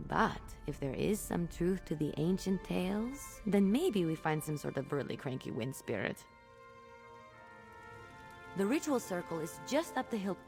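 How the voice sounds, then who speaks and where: A woman voicing a cheeky, impulsive young apprentice mage in a video game, chatty, playful and expressive. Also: lowish voice, a little slow, creaky, faint music behind, exaggerated voice. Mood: friendly, cautious. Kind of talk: video game character dialogue.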